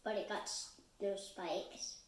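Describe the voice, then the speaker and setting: A woman's voice: mid-range voice, steady tone, takes it slowly, reverberant. A woman chatting casually, chiming in during a storytime.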